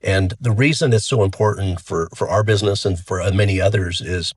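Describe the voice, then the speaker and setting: A middle-aged man with a cold that deepens his voice, chatting as the guest of an interview. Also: brisk, breathy edge. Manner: relaxed and chatty, frequent restarts. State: has a cold, voice deeper than usual. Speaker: funeral home CEO, guest. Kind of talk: casual interview talk.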